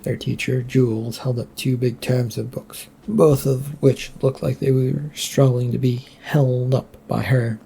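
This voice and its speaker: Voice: medium pitch, fairly even intonation, measured pace, creaky, few pauses, mellow, slightly rough. A person reading a fantasy story aloud as scripted narration.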